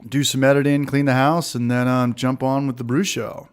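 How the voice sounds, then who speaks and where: A man's voice: medium pitch, flat delivery, normal speed, clear, resonant tone. A man in his late thirties co-hosting a casual beer livestream, rambling and chatty as he greets the chat. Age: 30s. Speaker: livestream co-host.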